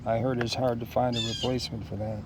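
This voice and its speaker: A man's voice: mid-range voice, level tone. Man musing aloud over noisy background. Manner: halting, musing aloud to himself. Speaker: product unboxer. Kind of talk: casual unscripted unboxing narration.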